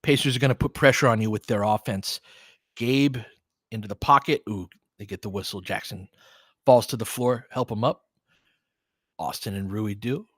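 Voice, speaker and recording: A man's voice: fairly low pitch, normal speed, husky, no echo. A man doing casual live basketball play-by-play as a stream host, speaking conversationally in short, clipped phrases. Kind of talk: casual live basketball commentary with fan chat.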